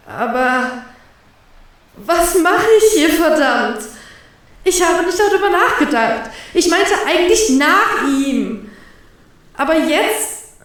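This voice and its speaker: A flustered young woman's inner voice, high and drawn out, swearing softly to herself, as a woman reads her thoughts aloud. It rings with a heavy echo, as if heard from far off. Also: full character voice. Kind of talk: inner thoughts in a fan fiction reading. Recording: very echoey, from far off.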